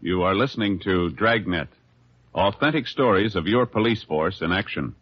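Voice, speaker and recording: A man's voice: low voice, moderate pace, slightly rough, faint music behind. A man doing formal, emphatic scripted announcing for 1950s radio. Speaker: programme announcer. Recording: dull old radio recording.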